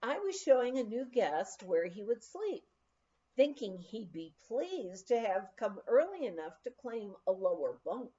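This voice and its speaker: A woman's voice: mid-range voice, big pitch swings, unhurried, warm tone, lo-fi sound. A woman reading a memoir aloud as a storyteller, with emphatic phrasing. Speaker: audiobook reader.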